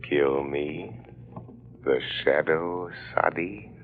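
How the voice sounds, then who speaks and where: Super deep slow spooky avenger, taunting, old tinny noisy radio show. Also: male voice, full character voice. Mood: taunting menace. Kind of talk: 1930s radio drama acting.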